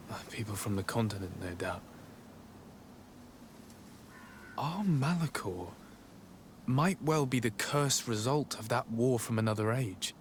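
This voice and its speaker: A man's voice: mid-range voice, slight background noise, natural voice. A man playing a composed noble legate in a video game cutscene, speaking formally and politely. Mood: thoughtful.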